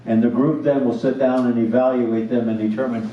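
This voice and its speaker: A man's voice: mid-range voice, steady tone, fairly quick. A man moderating a community meeting, explaining things in a matter-of-fact way. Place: large echoey meeting room. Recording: muffled, echoey, distant mic.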